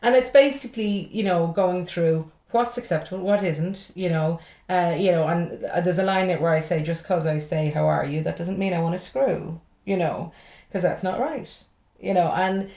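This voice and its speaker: A woman's voice: fairly low pitch, moderate pace, hardly any pauses, mellow, reverberant. Irish woman comedian, rambling and swearing. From Ireland. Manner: swears freely, rambling and jokey. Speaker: stand-up comedian, podcast guest. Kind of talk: casual podcast chat.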